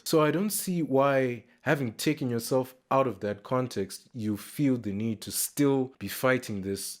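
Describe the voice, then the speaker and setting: A young man speaking as a reaction video host, giving casual, off-the-cuff commentary in a measured, reflective way, with sentences that tail off. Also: mid-range voice. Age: young adult.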